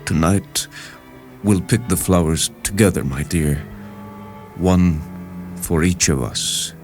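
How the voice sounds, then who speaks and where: A resigned, tender count in a radio story, with a deep voice. He speaks slowly and solemnly to his wife, over faint music. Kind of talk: dramatized short story reading.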